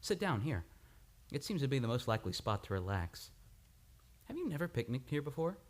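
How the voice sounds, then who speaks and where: An actor as an intense, highly animated male doctor. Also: fairly quick, close to an everyday voice. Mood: teasing, charming. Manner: dramatic, intense. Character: charming but unstable, intense male doctor. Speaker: radio play actor. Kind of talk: old-time radio drama acting. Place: radio studio.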